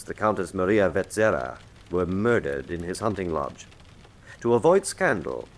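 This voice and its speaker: Deep, worldly cardinal, animated delivery. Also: male voice. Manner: measured, dignified, dramatic storytelling. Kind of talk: full-cast radio drama acting.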